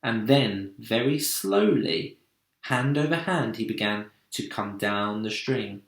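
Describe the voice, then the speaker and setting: Male storybook narrator, theatrical and animated, roomy sound. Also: lowish voice, slow pace, vocal fry. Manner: dramatic, theatrical. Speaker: storybook reader, teacher. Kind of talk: expressive children's story narration. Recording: roomy echo.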